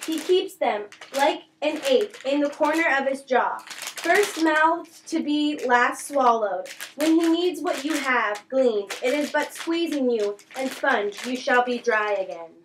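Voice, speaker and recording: A woman's voice: high voice, animated, unhurried. A woman playing a mocking, riddling young prince in an amateur Shakespeare puppet show, declaiming old verse with a taunting edge. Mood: mocking, evasive. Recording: echoey, distant, faintly noisy room recording.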